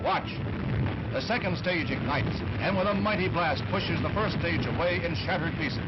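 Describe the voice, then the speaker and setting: A man narrating in a dramatic 1960s newsreel style on an old archival film soundtrack. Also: a little high. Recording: muffled archival film, loud music behind.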